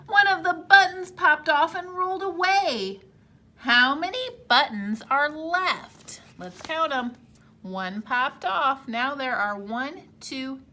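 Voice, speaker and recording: Bright, lively teacher reading aloud. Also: female voice, high-pitched. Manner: animated, exclamatory storytime delivery. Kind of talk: reading a picture book aloud to children.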